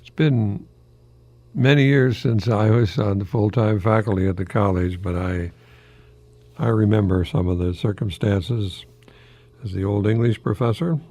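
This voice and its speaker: Old guy doing a folksy radio talk, raspy and creaky, kinda musing and hesitant, asks himself questions. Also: fairly low pitch, warm tone. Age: older. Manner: musing, hesitant, chuckling asides, rhetorical questions, repeats himself. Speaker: radio talk host, retired English professor.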